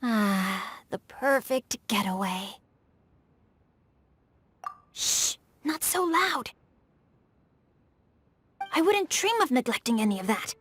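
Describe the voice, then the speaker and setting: A woman's voice: fairly high pitch, lively intonation, slow, long pauses, fairly bright tone, slightly rough, full character voice. A woman voicing a refined, bookish, playful boy with eloquent, formal phrasing in a video game. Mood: guarded, hushed. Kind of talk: video game character voice acting.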